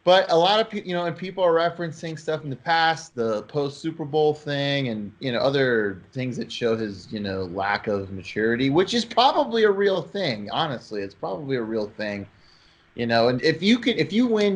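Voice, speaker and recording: A man hosting a sports talk show, giving opinionated takes in an informal, emphatic way. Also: fairly high pitch.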